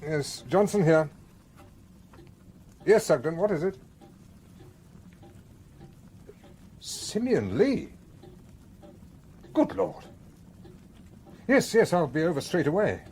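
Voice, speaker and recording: An actor playing a bluff, genial English colonel in a radio play, with an English accent and a jovial manner. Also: male voice, on the high side, very animated, takes it slowly, long pauses, darkish tone. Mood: concerned, brisk. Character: hearty, genial English colonel, sentimental police chief.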